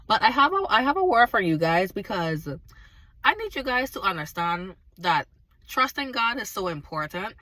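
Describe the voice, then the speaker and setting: A woman with a raspy, slightly hoarse voice, recovering from being sick, talks earnestly straight to her viewers. Her tone is bright and forceful, and the sound is close and dry with no room echo. She tells her testimony in a casual, emphatic way. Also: medium pitch, very animated, normal speed. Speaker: vlogger sharing a faith testimony.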